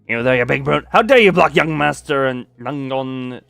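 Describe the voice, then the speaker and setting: A man's voice: mid-range voice, moderate pace, creaky, fairly bright tone, no room sound. A man voicing a pompous, indignant retainer of a noble house in a game, haughty and declamatory.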